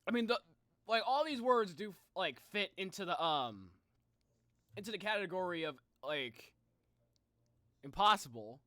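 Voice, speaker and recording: A streamer giving excitable, rambling, slangy reaction commentary in a casual style. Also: mid-range voice, unhurried, pauses often, dry recording, studio quality. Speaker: YouTube reactor commenting on game lore.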